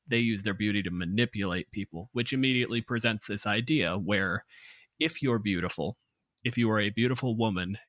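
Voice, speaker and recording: Measured man with a fairly low voice. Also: a bit bright, no echo. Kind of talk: analytical casual discussion.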